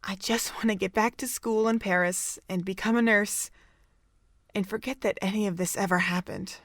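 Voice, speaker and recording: A woman's voice: medium pitch, steady tone, moderate pace, fairly bright tone, no room sound, studio quality. A woman playing a guarded young nursing student in an amateur audio drama, speaking hesitantly. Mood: reluctant.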